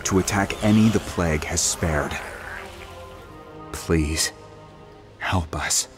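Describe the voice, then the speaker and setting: Slow, deep, solemn monk. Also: male voice. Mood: grave, pleading. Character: grave male monk tending a plague-stricken monastery. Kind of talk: video game character acting. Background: music.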